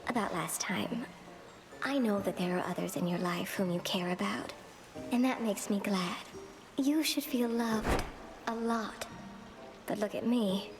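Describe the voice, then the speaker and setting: A gentle little cursed weaver creature, acted by a woman, wistful and unsure of herself. She talks very slowly with a creaky edge, in a halting game-character delivery over music. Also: mid-range voice, natural voice. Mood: shy, tender. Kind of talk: video game voice acting. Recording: music behind the voice.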